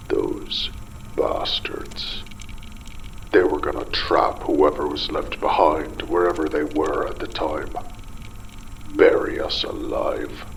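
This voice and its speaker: Casting: broken, exhausted dad on the edge of madness, horror audio drama. Extremely low, heavily creaking half-whisper, halting delivery. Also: male voice, fairly bright tone, a little hiss or hum, exaggerated voice, low-quality recording. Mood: shaken, bitter. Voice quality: gravelly, breathy half-whisper. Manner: halting, broken phrases with heavy pauses. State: exhausted, parched. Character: haunted, splicing-mad father fleeing a ruined undersea city.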